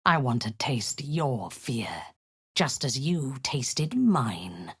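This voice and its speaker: A woman voicing a proud, ruthless drow priestess commander in a video game, commanding and menacing, often dropping to a hushed hiss. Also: very deep voice, very animated, drawn-out words, breathy edge, no echo, clean studio sound, exaggerated voice. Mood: hushed menace.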